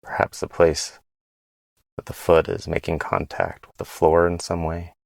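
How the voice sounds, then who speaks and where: A man leading a guided body scan meditation in a gentle, unhurried way, pausing between phrases. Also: low-pitched, level tone, creaky, no echo.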